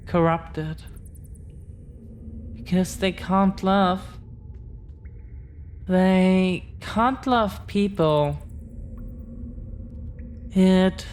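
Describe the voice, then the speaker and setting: Woman playing a very slow-speaking, tender dragon girlfriend. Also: on the low side, darkish tone, clear, resonant tone. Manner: slow, halting, earnest, with repeated phrases. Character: tender, devoted young dragon-descended girlfriend, protective and earnest. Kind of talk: intimate scripted roleplay audio.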